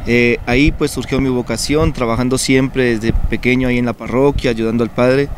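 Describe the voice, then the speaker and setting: A man's voice: medium pitch, normal speed. Young male seminarian guest from Colombia, mid-range voice, earnest explanatory delivery, faint noise behind. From Colombia (Bucaramanga, Santander). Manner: calm, earnest, explanatory with frequent fillers. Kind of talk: conversational TV interview.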